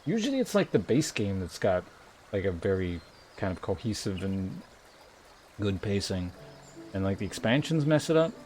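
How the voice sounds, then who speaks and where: Low-voiced man chatting on a game stream. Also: very animated, moderate pace, pauses often, darkish tone, slight background noise. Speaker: live streamer. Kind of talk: casual chat while streaming a game, reading viewer messages. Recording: music behind the voice.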